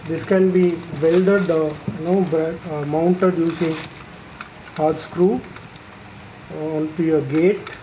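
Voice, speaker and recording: A person giving an unscripted, explanatory product demonstration in a halting, matter-of-fact way, pausing mid-phrase. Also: mid-range voice, fairly even intonation, mellow, slight background noise, poor audio. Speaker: installer presenting a product tutorial.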